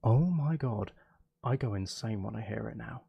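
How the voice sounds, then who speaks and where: A man's voice: fairly low pitch, lively intonation, moderate pace, creaky, husky, muffled recording. A man chatting casually to viewers on a livestream, rambling, repeating himself and breaking off mid-sentence in a jokey, self-deprecating way. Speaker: live streamer.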